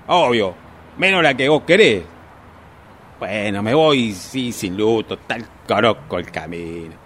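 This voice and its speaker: Male actor, tipsy grumbling husband, Rioplatense accent, radio drama, exasperated muttering, animated pitch. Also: slight background noise. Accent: Argentine Rioplatense Spanish. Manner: muttering, exasperated complaining to himself. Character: grumbling tipsy husband stumbling home at night.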